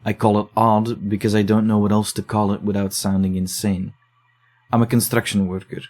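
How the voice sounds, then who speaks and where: A man in an audio drama, calmly explaining findings and reading a patient's letter aloud. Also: lowish voice. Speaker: investigator reading case files.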